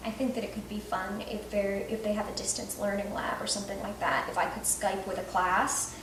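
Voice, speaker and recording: A woman's voice: mid-range voice, fairly even intonation, a little fast. A young woman speaks in an informal, conversational way, like an author sharing her thoughts on a visit. She sounds a little way from the microphone, and the room rings around her words. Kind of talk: casual reflective talk and question-and-answer with schoolchildren. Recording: roomy, distant mic, some noise.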